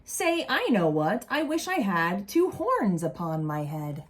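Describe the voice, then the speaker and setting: Lady reading a kids book out loud, really slow and sing-songy up and down, chatty little asides. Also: medium pitch. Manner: animated, deliberate, asks the listeners questions. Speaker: storyteller reading a children's picture book aloud. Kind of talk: expressive read-aloud with casual asides to young children.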